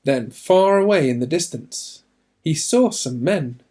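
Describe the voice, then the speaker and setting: Guy reading a kids story out loud, slow n expressive, really animated pitch. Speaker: reader of a children's story. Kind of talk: expressive children's storybook narration.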